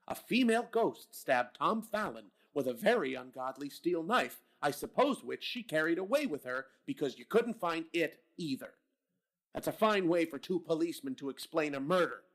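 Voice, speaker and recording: An actor playing a blunt, sarcastic police captain. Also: male voice, on the high side, expressive, normal speed, fairly bright tone. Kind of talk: old-time radio drama reading.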